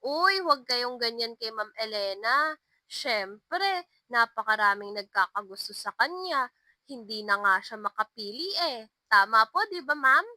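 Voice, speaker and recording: Impish, eager grade-school pupil acted by a woman storyteller, high and animated, teasing and excitable. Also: measured pace, no room sound, exaggerated voice. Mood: excited.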